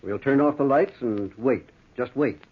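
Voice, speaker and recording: A man acting an earnest, excitable older doctor who narrates a ghost tale in old-time radio horror style, with dramatic, deliberate delivery. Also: mid-range voice, husky, lo-fi sound. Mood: hushed suspense. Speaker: narrator and lead actor. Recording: thin, noisy vintage radio recording.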